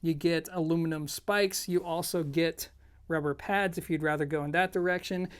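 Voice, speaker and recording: A product reviewer hosting an audio gear video. The voice sits at a middle pitch. The delivery is informal and explanatory, speaking straight to the viewers. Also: fairly even intonation, normal speed, clean tone. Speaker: product reviewer and show host.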